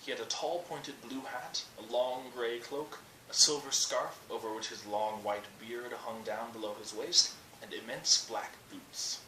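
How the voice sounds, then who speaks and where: A man's voice: mid-range voice, steady tone, a little slow, bright, forceful tone. A young man reading a bedtime story aloud from a book, recorded at arm's length in a small room. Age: young adult. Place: small indoor room by a curtain. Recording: roomy, distant webcam mic, faint noise.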